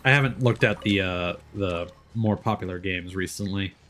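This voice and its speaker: A man's voice: low voice, moderate pace, a bit bright. A man chatting casually as he commentates on a game for a livestream. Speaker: streamer.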